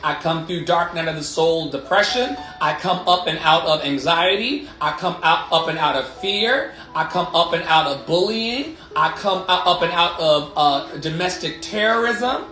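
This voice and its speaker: A man's voice: on the high side, animated, moderate pace, hardly any pauses, sharp tone. A man in his late thirties hosting a motivational livestream, talking candidly and emphatically with swearing and repeated affirming phrases. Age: 30s. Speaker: life coach and livestream host. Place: living room. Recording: roomy echo, music behind.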